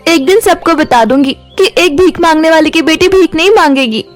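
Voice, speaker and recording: Woman as an earnest little girl, high-pitched voice. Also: normal speed, soft background music. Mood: hurt, determined. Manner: emotive, earnest. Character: poor young girl, earnest, determined, kind-hearted. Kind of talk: Hindi cartoon fairy tale acting.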